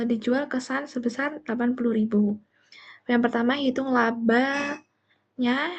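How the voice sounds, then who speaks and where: A woman's voice: a little high, darkish tone, dull audio. A young woman, a student presenter explaining material step by step in a classroom-style presentation. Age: young adult.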